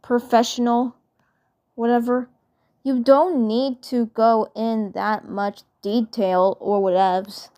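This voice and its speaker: Woman as a tough grumpy cartoon hero girl, sarcastic, suspicious, grumbles slowly. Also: a little high, level tone, dark tone. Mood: annoyed.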